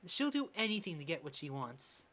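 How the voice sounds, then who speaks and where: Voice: medium pitch, sing-song, brisk, a bit bright. A performer voicing a chatty, gossiping middle-aged aunt in a fan dub. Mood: gossipy. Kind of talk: amateur fan dub of a medical drama.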